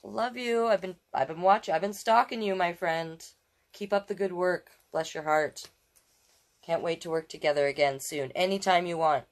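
A woman's voice: lowish voice, normal speed. A woman hosting a storytime, reading a children's book aloud expressively and adding affectionate, chatty asides. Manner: expressive, affectionate commentary, repeating phrases. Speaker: storytime host reading picture books.

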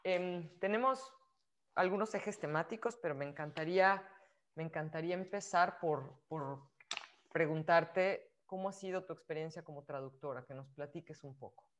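A woman's voice: low-pitched, moderate pace. A young woman hosting a video call interview, asking questions with a few hesitant fillers. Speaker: host. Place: home room with bookshelves. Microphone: laptop webcam mic on video call.

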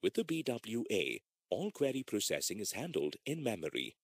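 Low male narrator with very animated intonation. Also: slightly rough, dry recording, clean studio sound. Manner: measured, formal reading. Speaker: e-learning narrator. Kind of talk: scripted instructional narration.

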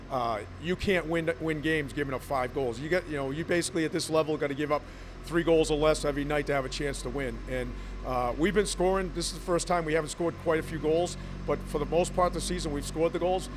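Coach guy in his 40s talking after the game, lots of uhs, bit of hum in the background. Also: fairly high pitch. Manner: measured and frank, with many uhs. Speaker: head coach. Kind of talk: post-game interview. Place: indoor arena corridor. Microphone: handheld mic held close.

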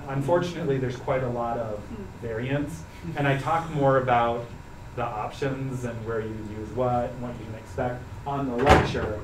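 A man's voice: medium pitch, moderate pace. Young guy teaching a class, lots of ums, far-off mic in a roomy space. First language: English. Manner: relaxed, explains patiently with many fillers. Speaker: Hebrew teacher. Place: carpeted classroom, students seated around. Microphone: far camera. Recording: echoey room from far off, noisy.

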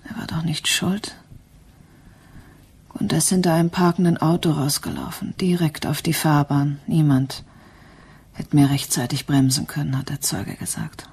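Breathy, grieving mother. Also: deep voice, steady tone, a little mellow, full character voice. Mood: subdued grief. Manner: subdued, halting, often near whisper. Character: grieving, resigned mother who lost her child. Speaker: radio drama actress. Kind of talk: German radio crime play acting.

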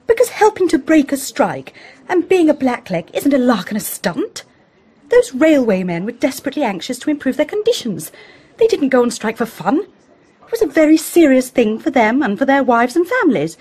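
A high-voiced, principled young Englishwoman in a radio drama. She is severe and articulate, and her slightly rough voice swings widely in pitch. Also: very animated, moderate pace, a little mellow, exaggerated voice. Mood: indignant, earnest. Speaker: actor in an audio play. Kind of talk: British radio drama acting.

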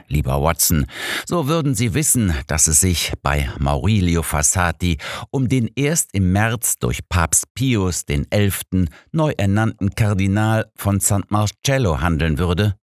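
A man's voice: deep voice, no room sound, studio quality, voice pushed up. A man voicing an irritable, sarcastic old detective in an audiobook, curt and condescending. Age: older. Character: irritable, sarcastic old male detective, imperious know-it-all. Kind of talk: audiobook character dialogue.

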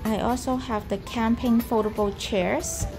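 A woman in her thirties, a potter, calmly explaining her market packing in a gentle vlog narration. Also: fairly high pitch. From Canada. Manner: slow, gentle, careful delivery. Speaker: potter vlogger. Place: home room indoors. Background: background music.

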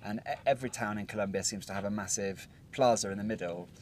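A young British man with a lowish voice chats through a travel recap, stumbling over ums and restarting sentences. He sits outdoors on a hilltop bench, picked up by a camera a few metres away, with a faint hiss behind him. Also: fast talker, darkish tone. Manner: rambling, hesitant with many ums and restarts. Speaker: travel vlogger. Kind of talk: casual travel recap chat.

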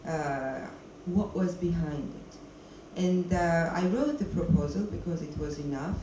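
A woman's voice: on the low side, a little slow, warm tone. A middle-aged woman, a documentary filmmaker, answers questions on stage with a handheld mic, stopping often to search for words in English. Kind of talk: stage Q&A interview. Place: stage of a screening hall, seated. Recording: echoey hall, noisy, mic from a distance.

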